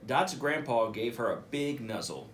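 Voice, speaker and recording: Man, school principal, children's story reading, expressive, direct address to kids, animated intonation, faint noise behind. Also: medium pitch, unhurried. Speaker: school principal reading a picture book aloud. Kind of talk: read-aloud for children, casual asides.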